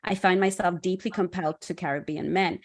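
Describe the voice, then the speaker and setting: A middle-aged woman hosting a panel discussion on dating, speaking reflectively and pausing to restart her thoughts. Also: on the low side, steady tone. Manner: reflective, measured, with many restarts. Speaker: host.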